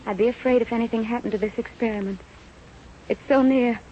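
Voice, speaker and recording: An actress playing a brave, self-effacing, devoted wife and assistant to a doctor in an old-time radio drama. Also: female voice, fairly high pitch, fairly even intonation, fast talker, mellow, lightly acted. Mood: determined. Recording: muffled, faintly noisy vintage radio recording.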